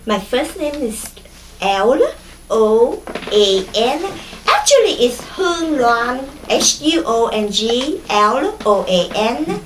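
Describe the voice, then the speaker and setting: Slow, hesitant Vietnamese-accented woman. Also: on the high side, sing-song, roomy sound, slight background noise, low-quality recording. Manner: hesitant, many fillers and restarts. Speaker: interviewee. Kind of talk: oral history interview.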